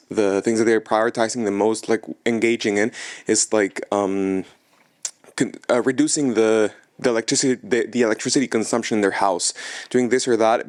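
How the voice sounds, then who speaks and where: A man in the audience asking the panel a long question, with many restarts and fillers. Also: fairly low pitch. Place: conference hall.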